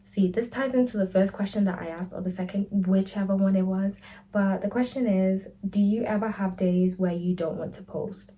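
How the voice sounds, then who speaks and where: A woman's voice: mid-range voice, fairly even intonation, normal speed, dark tone, poor audio. A woman, chatting candidly to camera in a casual Q&A vlog, full of "like" and "type of thing". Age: 20s. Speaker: lifestyle vlogger.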